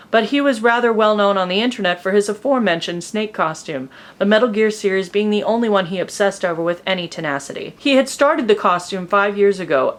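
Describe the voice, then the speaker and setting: A woman reading fan fiction aloud as an expressive, amused comedic narrator. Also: mid-range voice.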